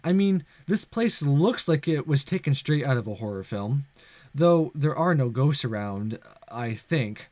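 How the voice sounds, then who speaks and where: A man's voice: mid-range voice, expressive, measured pace, a little mellow, no echo, close to an everyday voice. A man reading aloud as an anxious teenage schoolboy narrating a spooky visual novel, wry and muttering to himself. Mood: uneasy.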